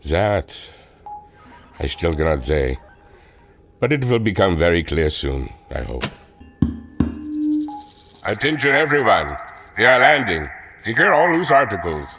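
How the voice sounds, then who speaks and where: A brooding German airship captain from a radio adventure serial. His voice is very deep, accented and grave, measured and secretive, with light music underneath. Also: sing-song, full character voice. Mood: commanding.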